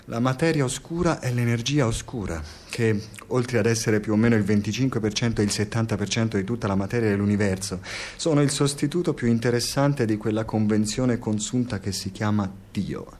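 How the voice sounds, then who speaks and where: A man's voice: fairly low pitch, brisk, darkish tone, a little hiss or hum, lightly acted. A male actor plays a wordy, insecure researcher in a naturalistic radio drama. He has an Italian accent and rambles from one thought to the next, needling whoever he is talking to with an intellectual, slightly nervous manner. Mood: earnest, lecturing.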